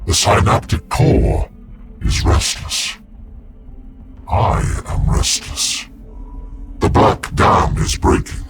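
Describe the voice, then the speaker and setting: An actor voicing an ancient, cryptic psychic warrior in a war machine, with a breathy, solemn, portentous delivery over loud game music. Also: male voice, slow, long pauses, lightly acted. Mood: ominous. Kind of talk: video game cutscene voice acting.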